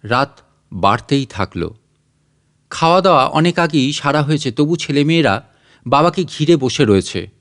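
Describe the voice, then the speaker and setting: Higher-voiced man narrating a story. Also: animated, moderate pace, long pauses, a bit bright. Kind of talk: scripted audiobook narration.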